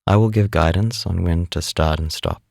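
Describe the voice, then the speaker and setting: A man leading a guided meditation as an instructor, speaking in a calm, unhurried, instructive way. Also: sits low, vocal fry, no echo, studio quality.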